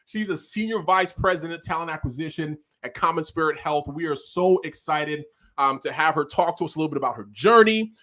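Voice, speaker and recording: This is an upbeat male host with a Nigerian accent, talking in quick, excited bursts as he runs a live online show. His voice sits a touch higher than most men's. It sounds like it comes through a video call mic. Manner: energetic, hyped, quick bursts of talk.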